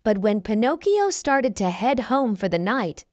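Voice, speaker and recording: A woman reads a children's storybook aloud in a slow, unhurried way, her pitch rising and falling with feeling. Her voice is fairly high and the recording is dry and close. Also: no room sound, lo-fi sound. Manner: slow clear expressive reading. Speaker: children's book reader. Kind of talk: storybook read-aloud narration.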